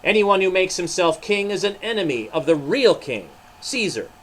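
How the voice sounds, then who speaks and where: A man reading a children's Bible story, playing a stern high priest who makes insistent, emphatic accusations. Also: very animated, moderate pace, a bit bright, a little hiss or hum. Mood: threatening.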